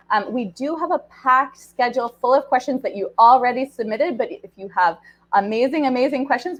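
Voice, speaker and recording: A woman's voice: a little high, low-quality recording. A woman hosting and opening an online panel on a video call, upbeat and enthusiastic with some fillers. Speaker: program director, event host.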